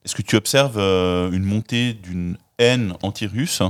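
A man hosting a podcast, reading a scripted opening and then asking measured, articulate interview questions. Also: fairly low pitch. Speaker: podcast host. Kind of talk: interviewing, partly scripted intro.

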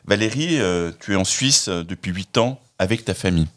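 Measured male podcast host. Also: low-pitched, lively intonation. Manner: articulate, measured questions. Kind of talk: interviewing, partly scripted intro.

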